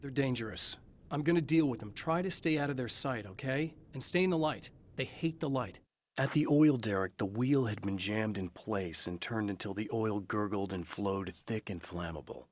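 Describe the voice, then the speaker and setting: An actor playing an earnest, serious writer turned fighter against darkness in a video game, speaking in a measured way. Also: male voice, fairly low pitch, close to an everyday voice. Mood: calm, serious. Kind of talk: video game acting, dialogue and reflective monologue.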